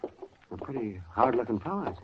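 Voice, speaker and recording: An American actor playing a folksy, crusty, talkative older town doctor in a 1950s radio western. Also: male voice, medium pitch, sing-song, normal speed, close to an everyday voice. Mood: concerned. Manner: garrulous, solicitous, crusty. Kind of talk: 1950s radio drama acting. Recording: muffled, hissy 1950s radio recording.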